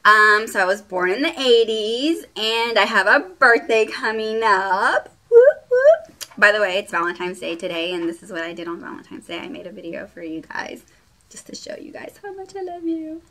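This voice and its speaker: Bright-voiced chatty woman in her late twenties. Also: medium pitch, big pitch swings, normal speed. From Puerto Rico, raised in Texas. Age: late 20s. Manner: chatty and rambling, with frequent fillers. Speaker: beauty vlogger. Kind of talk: casual get-to-know-me vlog.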